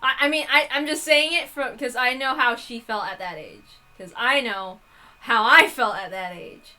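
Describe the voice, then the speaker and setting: A woman reading a visual novel aloud in an expressive way, with casual asides as the host. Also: on the high side, moderate pace.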